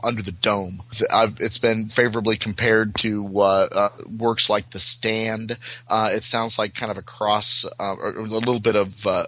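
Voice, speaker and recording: A man talks casually as a guest on a podcast. His voice is bright and forward, with a very dry sound. He halts and doubles back on words as he searches for the next one. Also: lowish voice, dry recording. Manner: halting, repeats words while searching for them. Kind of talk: casual chat.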